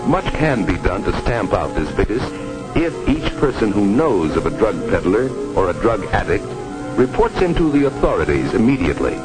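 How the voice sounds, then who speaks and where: A man's voice: on the low side, big pitch swings, normal speed, rough recording. An American man reading a scripted 1950s educational film narration in a grave, formal, emphatic manner. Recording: old 1950s film soundtrack. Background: music.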